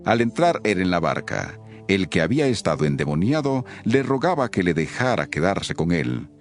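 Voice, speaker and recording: A very low-voiced man narrates a scripture story from a script. His intonation swings widely and expressively, and music plays clearly underneath. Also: very animated, moderate pace.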